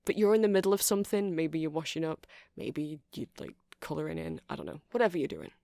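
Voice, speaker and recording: A woman's voice: low-pitched, animated, fast talker, vocal fry, dark tone, no room sound, studio quality. A woman giving a rambling, chatty ASMR demonstration, explaining things step by step. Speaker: ASMR creator.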